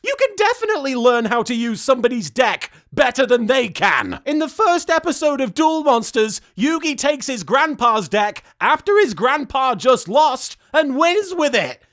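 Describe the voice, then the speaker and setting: A male reaction host with a high voice and a very lively range. He recaps with heavy sarcasm and incredulous exasperation, swearing freely as he goes. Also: normal speed, few pauses. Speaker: host of an episode reaction series.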